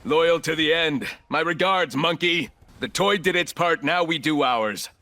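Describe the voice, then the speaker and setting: An actor playing a ruthless, arrogant corporate executive turned combat operator in a video game, speaking in clipped, commanding, coolly condescending lines. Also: male voice, fairly high pitch, unhurried, pushed and bright. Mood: cold, commanding. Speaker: playable military shooter operator.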